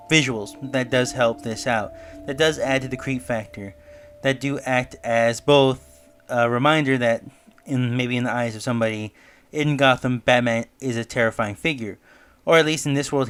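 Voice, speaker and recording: A man's voice: mid-range voice, a bit bright, clean tone. Man narrating a video essay over music. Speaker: online reviewer and theorist. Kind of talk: semi-scripted video essay commentary. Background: background music.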